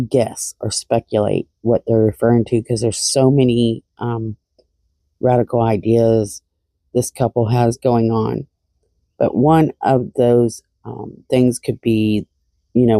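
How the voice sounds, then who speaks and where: A woman's voice: rumbling low, mellow, no echo. A woman hosting a true-crime show, giving casual analytical commentary.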